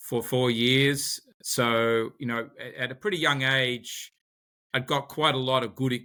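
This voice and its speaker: A man's voice: mid-range voice, pushed and bright. An Australian man, a podcast guest, talking in a conversational interview and often repeating words as he searches for his phrasing.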